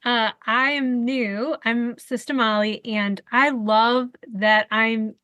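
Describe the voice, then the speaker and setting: A woman's voice: on the high side, fairly even intonation, slow pace, pushed and bright, smooth, dry recording. A woman from Detroit chatting casually as a guest host on a fan podcast, rambling with many fillers. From Detroit, Michigan.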